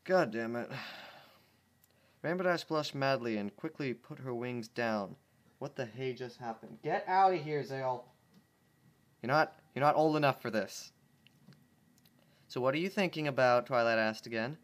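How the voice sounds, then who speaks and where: A man reading fan fiction aloud, his voice sitting at an ordinary middle pitch. He stumbles over words and keeps breaking off into wry side comments, with a loose, unpolished home-reading feel. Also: moderate pace. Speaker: fanfiction reader. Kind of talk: casual dramatic reading with commentary.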